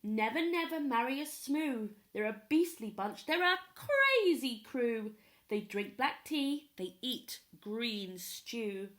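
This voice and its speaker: A woman's voice: fairly high pitch, animated, a bit bright. A woman reading a children's storybook, voicing a stern, bossy old male alien grandfather with emphatic delivery. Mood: disapproving. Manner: emphatic, slow scolding. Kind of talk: children's storybook read-aloud.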